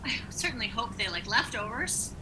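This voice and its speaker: A woman's voice: fairly high pitch, animated, normal speed, natural voice. A woman playing a blunt, suspicious middle-aged wife and mother in a radio play, wry and pointed. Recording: noisy home video-call recording.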